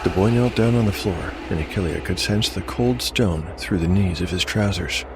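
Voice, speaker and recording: A man narrating a scripted dark fantasy tale as game master, with measured storytelling delivery. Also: deep voice, noisy background. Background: music.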